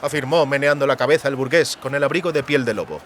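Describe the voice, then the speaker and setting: Guy narrating an audiobook, bright crisp dramatic storytelling, faint street noise in the back. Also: mid-range voice, quick pace.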